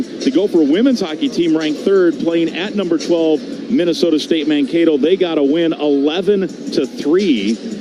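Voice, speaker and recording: An American man hosting a live halftime show on sports radio as the play-by-play announcer. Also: a little high. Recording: music under the voice.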